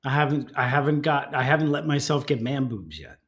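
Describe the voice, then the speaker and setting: A man's voice: mid-range voice, animated, brisk, a bit bright. A middle-aged man in casual comic banter as a show co-host, with a joking, self-deprecating manner.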